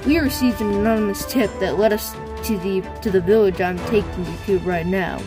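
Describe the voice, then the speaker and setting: A woman's voice: mid-range voice, fairly quick, over music, natural voice. A woman voicing a serious, methodical male chameleon detective in an amateur cartoon comic dub, explaining things earnestly. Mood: matter-of-fact.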